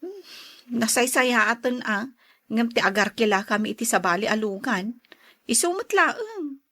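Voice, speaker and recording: A woman's voice: fairly high pitch, expressive, unhurried, pushed and bright, exaggerated voice. A woman with an Ilocano Filipino accent playing a pampered, coy married madam in an audio drama. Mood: coy, breezy. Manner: coy, breezy. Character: pampered, flirtatious married madam, breezy and self-indulgent.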